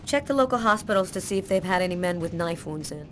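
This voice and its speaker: A woman playing an analytical, measured police detective in a video game. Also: on the low side, expressive, slight background noise, close to an everyday voice. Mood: businesslike.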